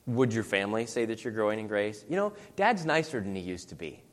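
A man's voice: fairly low pitch, animated, brisk. A man giving a conversational sermon. He asks his listeners many rhetorical questions. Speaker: preacher. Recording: long hall reverb.

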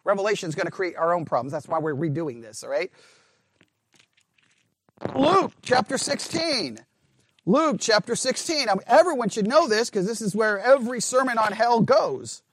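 A man's voice: sits high, expressive, moderate pace, fairly bright tone, a little hiss or hum. A man teaching a conversational Bible study lesson, emphatic, asking his listeners questions and often restarting his sentences. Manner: emphatic, asks the class questions, frequent restarts.

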